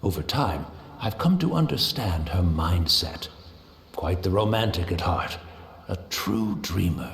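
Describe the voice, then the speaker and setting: A man's voice: low voice, lively intonation, measured pace, vocal fry, roomy sound, slight background noise, exaggerated voice. A man voicing a serene, devoted tea master in a video game, with a courtly, deferential and reflective way of speaking. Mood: resigned, wistful.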